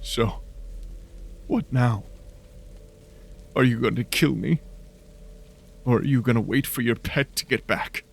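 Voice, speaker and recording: A man's voice: mid-range voice, takes it slowly, gravelly, pauses often. A man voicing a murderous, tearful police officer in a horror audio drama, pleading and stammering. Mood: shaken.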